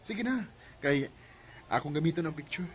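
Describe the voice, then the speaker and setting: Low-key, coaxing young suitor. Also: male voice, expressive, moderate pace, slightly rough. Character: young male suitor, coaxing and casually fickle. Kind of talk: Philippine radio drama acting.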